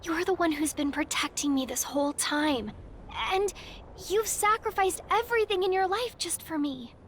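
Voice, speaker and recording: A woman voicing a gentle, selfless teenage girl in a video game, earnest and soft-hearted. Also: very high-pitched, moderate pace, slight background noise. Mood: tender resolve. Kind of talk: anime-style video game voice acting.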